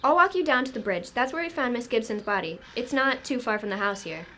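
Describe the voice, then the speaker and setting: A woman's voice: a little high, expressive, brisk, bright, forceful tone. A woman playing a chatty, deferential local police sergeant in an amateur radio drama, with a folksy manner. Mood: friendly. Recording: clear background noise.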